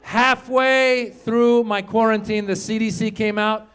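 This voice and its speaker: A man performing a stand-up comedy set on a comedy club stage, talking with the crowd and acting out loud voices. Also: high voice, a little slow, a little hiss or hum. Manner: animated, crowd work, acts out loud voices.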